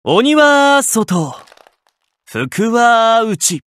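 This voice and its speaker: A man's voice: very high-pitched, very animated, drawn-out words, a bit bright, no room sound, full character voice. A man voicing a proud, refined sword warrior in an anime game, polished and theatrical. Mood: proud, commanding.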